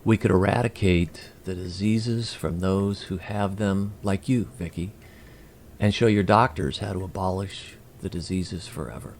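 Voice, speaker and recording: A man playing a polite, curious alien emissary in an audio drama, with a gravelly voice and formal, measured, precise speech. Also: sits low, fairly even intonation, a little hiss or hum. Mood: earnest, measured.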